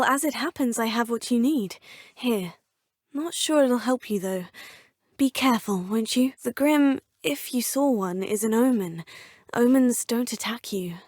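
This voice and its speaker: An actress voicing a polite, curious young student witch in a game, asking measured questions. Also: fairly high pitch, slightly rough, dry recording. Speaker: player character. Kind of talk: video game voice lines. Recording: dry studio recording.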